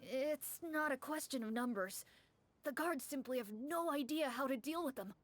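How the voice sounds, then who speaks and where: A woman's voice: high voice, animated, moderate pace, slight background noise. A woman voicing an anxious, grateful townsman in a game, speaking in a flustered, earnest and theatrical way. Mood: earnest worry.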